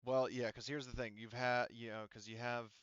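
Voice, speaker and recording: A man running a tabletop roleplaying game as game master, casual and quick to laugh, talking through fillers. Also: mid-range voice, little pitch movement, a bit bright, clear, resonant tone, dry recording, highs cut off.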